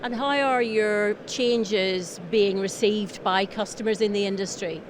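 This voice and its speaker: A woman's voice: mid-range voice, fairly even intonation, a little slow. Woman interviewer in a busy, crowded hall. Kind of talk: conversational trade show interview. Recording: busy trade show crowd noise.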